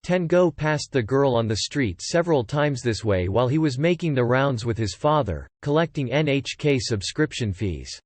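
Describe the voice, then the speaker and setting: A man narrating a scripted audiobook story in a measured storytelling manner. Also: mid-range voice, lively intonation, no room sound.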